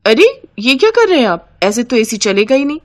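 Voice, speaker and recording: A woman's voice: a little high, big pitch swings, brisk, a little mellow, voice pushed up. A woman voicing a fed-up young daughter-in-law with a taunting, sarcastic manner in a moral-story cartoon. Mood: complaining.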